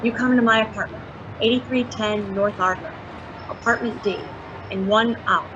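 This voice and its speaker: A woman playing a sly, flirtatious informant in a detective radio drama, coy, deliberate and insinuating. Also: medium pitch, fairly even intonation, close to an everyday voice. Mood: coy, scheming. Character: sly, flirtatious female informant selling dangerous secrets. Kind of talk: hard-boiled detective radio drama, femme fatale. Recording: dull, noisy track with street ambience.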